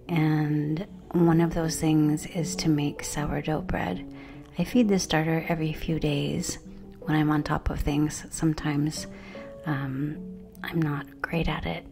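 A woman's voice: sits low, creaky. A middle-aged woman chatting casually as a cooking vlogger, friendly and prone to wandering off topic, talking to camera outdoors by a brick wall. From Canada.

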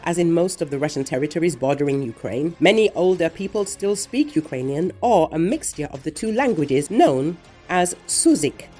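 A woman reading a scripted news report in a measured, even voice, relaying quotes from others. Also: low-pitched. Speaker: news narrator. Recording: voice-over with faint music underneath.

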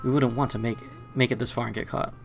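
A man's voice: medium pitch, little pitch movement, quick pace, gravelly, faint music behind. A man hosting a let's play, reading game narration aloud with short asides.

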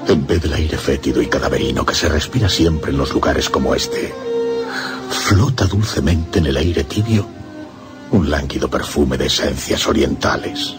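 Very deep-voiced tormented, lovestruck priest. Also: male voice, over music. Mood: entranced. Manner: breathy, halting, drops into whispers. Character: tormented priest confessing a feverish, forbidden passion. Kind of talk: radio horror drama acting.

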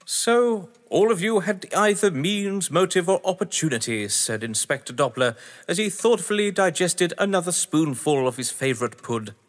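A man's voice: sits high, animated, normal speed, few pauses. A man acting in an audio drama as a witty, haughty husband under questioning, verbose, sardonic and theatrical. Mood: mocking. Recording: echoey hall-like reverb.

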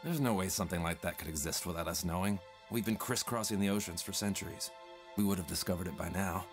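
A man playing a skeptical, dismissive colleague on a deep-sea mission, speaking bluntly in an audio drama. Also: quick pace, lightly acted. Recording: music behind the voice.

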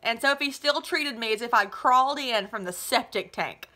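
A woman's voice: on the high side, expressive, moderate pace, bright, forceful tone. A woman in her thirties with a North American accent, reading a children's novel aloud expressively, like a teacher to students. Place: small living room at home, indoors.

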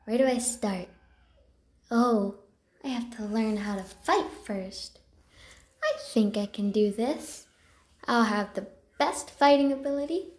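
Casting: young female warrior-cat kit, played by a woman, high voice, unhurried delivery, eager and excitable, roomy sound. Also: lightly acted. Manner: eager, breathless, expressive. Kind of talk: amateur character voice lines.

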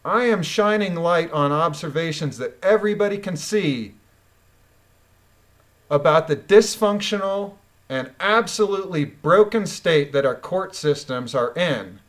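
A woman's voice: fairly low pitch, animated, unhurried. A woman reading documents aloud and commenting on them in a blunt, emphatic, indignant manner. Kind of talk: reading a court transcript aloud with critical commentary.

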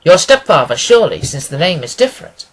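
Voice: medium pitch, sing-song, brisk, rough recording. A reader voicing a confident, analytical male detective in an audiobook, speaking with assured precision. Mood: brisk, probing. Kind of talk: acted audiobook dialogue.

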